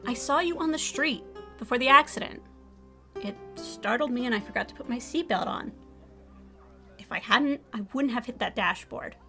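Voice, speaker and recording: A defiant young woman acted by a woman with a higher-pitched voice. She is sardonic and weary, with raw emotion under the sarcasm. It is a scripted audio drama, with music clearly playing behind her. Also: normal speed, a bit bright. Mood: challenging. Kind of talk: scripted audio drama acting with first-person narration.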